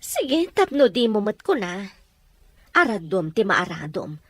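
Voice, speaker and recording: Woman acting a husky, indignant mother. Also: mid-range voice, big pitch swings, measured pace, no room sound. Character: indignant mother defending her child. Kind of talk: acted drama dialogue.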